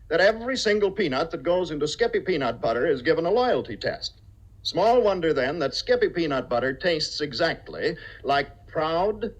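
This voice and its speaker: Guy doing old 1950s radio ad, mock serious, punchy, swooping highish voice. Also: muffled recording. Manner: mock-earnest, emphatic. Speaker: commercial pitchman. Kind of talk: tongue-in-cheek scripted 1950s radio advertisement. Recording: old 1950 radio broadcast.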